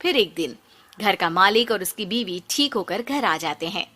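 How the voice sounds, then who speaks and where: A woman's voice: mid-range voice, lively intonation, moderate pace, sharp tone. A woman reading scripted narration for a moral story.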